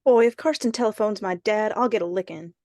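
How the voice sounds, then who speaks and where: A woman's voice: medium pitch, a little fast, a little mellow, dry recording, studio quality, natural voice. A woman playing a reluctant, skittish young boy in an old-time radio suspense play. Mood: defiant, fed up.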